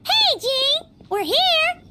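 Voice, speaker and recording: Paimon, a squeaky excitable little fairy girl. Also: extremely slow, noisy background, full character voice. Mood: excited. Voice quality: squeaky, childlike. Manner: speaks of herself in third person, chirpy. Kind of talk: video game voice acting. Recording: music playing behind the voice.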